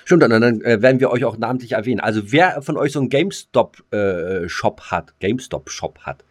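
A man's voice: mid-range voice, lively intonation, fairly quick. A man, chatting casually as a podcast co-host in a slangy, crude, rambling way.

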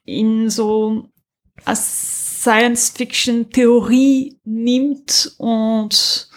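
A woman's voice: fairly high pitch, little pitch movement, drawn-out words. A woman co-hosting a podcast, chatting casually and explaining her thoughts. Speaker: podcast co-host. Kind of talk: casual chat.

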